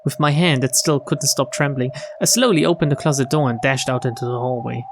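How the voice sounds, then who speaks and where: A man streaming a visual novel, reading it aloud with expressive, casual commentary. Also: medium pitch, a little fast, creaky, background noise. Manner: expressive reactions, casual swearing. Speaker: game streamer.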